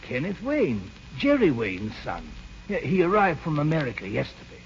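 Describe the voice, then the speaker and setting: An actor in a radio play as an elderly, skeptical English doctor, testy and chiding with an old friend. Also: male voice, fairly high pitch, huge pitch swings, normal speed. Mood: careful, probing. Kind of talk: 1940s radio acting. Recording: dull, noisy old radio recording.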